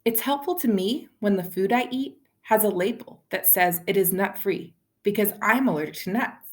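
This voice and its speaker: A woman's voice: medium pitch, normal speed. A woman reading a children's picture book aloud expressively, as a storyteller speaking to young listeners. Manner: animated, addresses child listeners with questions.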